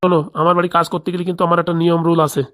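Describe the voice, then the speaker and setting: A woman's voice: lowish voice, monotone, very fast, fairly bright tone, natural voice. A woman voicing a crooked, bossy male village boss in a comedy cartoon, scheming aloud with a rural Bengali accent.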